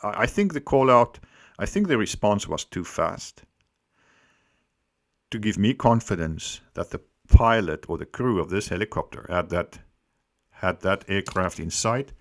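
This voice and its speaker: A man giving unscripted explanatory commentary as a host, speaking deliberately and emphatically, repeating phrases for stress. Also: lowish voice, animated. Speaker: host giving accident analysis.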